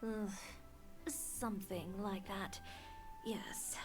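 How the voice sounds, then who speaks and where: A woman's voice: medium pitch, fairly even intonation, very slow. A woman playing a blunt, self-assured young fashion designer in a video game, composed and dry. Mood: curt. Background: game music.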